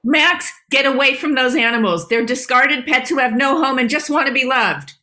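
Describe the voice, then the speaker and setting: A woman playing a proud, know-it-all female animal in an animated comedy table read, speaking emphatically and haughtily. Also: sits high, normal speed. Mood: urgent.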